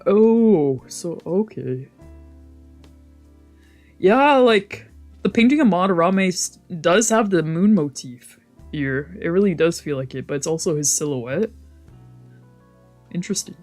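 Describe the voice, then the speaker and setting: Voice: mid-range voice, lively intonation, a little slow, pauses often, darkish tone, faint music behind. A streamer of a visual novel reading the text aloud and adding casual, wry asides.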